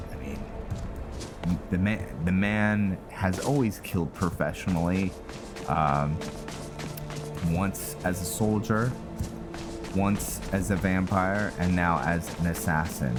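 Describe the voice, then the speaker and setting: A man giving casual roleplay game commentary, musing with dry jokes and trailing asides. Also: sits low. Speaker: gaming video host. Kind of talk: casual roleplay gameplay commentary, sometimes reading in-game text aloud. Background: game music.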